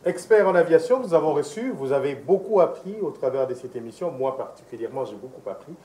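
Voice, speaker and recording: A man's voice: fairly high pitch, animated, fast, warm tone. A man with a Congolese French accent hosting a conversational television interview on a studio set. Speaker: TV interview host.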